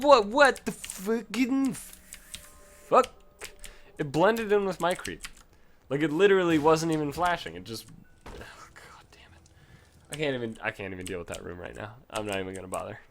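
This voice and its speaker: Male let's-play host, animated delivery, casual and profane, prone to loud outbursts. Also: high voice, slight background noise. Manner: swears freely, yells in bursts of frustration. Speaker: gaming commentator.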